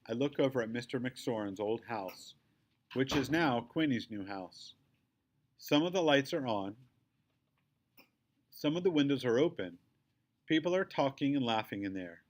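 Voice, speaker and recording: A man narrates a children's story from a book. His voice sits at a middle pitch. He delivers the text in short, simple phrases as a storyteller. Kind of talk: scripted narration, reading a children's story aloud.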